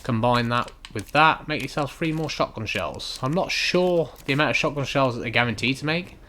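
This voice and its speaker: A man giving unhurried, instructional commentary over a video game walkthrough in a casual way. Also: medium pitch, pushed and bright, slight background noise. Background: video game ambience.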